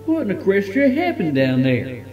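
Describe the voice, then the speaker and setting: A man voicing a warm, fatherly country stallion with a folksy, heartfelt manner in a dramatic fan fiction reading. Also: high-pitched, wildly swooping pitch, normal speed, muffled recording, over music. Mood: stunned.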